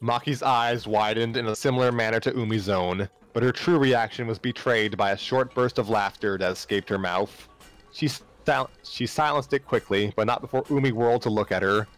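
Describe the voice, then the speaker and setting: A man with a fairly low voice narrates a story aloud for a livestream. He often stumbles and repeats phrases. Soft music plays behind him. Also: moderate pace, low-quality recording. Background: background music.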